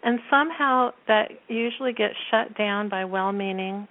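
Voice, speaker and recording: A woman guest with a naturally soft voice, talking casually in an interview and laughing often. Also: mid-range voice, moderate pace. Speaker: guest, performance coach. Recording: tinny phone line.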